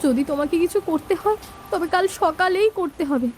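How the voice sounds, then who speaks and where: A woman's voice: extremely high pitch, normal speed, darkish tone, a little hiss or hum. A woman playing an anxious young village sweetheart in a cartoon, urgent and pleading.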